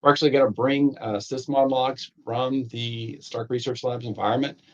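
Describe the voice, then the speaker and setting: A man, the course author, walking through a technical course in a relaxed, rambling way with lots of fillers and restarts, his voice a little raspy. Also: mid-range voice, normal speed, muffled recording. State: slightly raspy voice, needs a cough drop. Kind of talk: conversational technical course walkthrough.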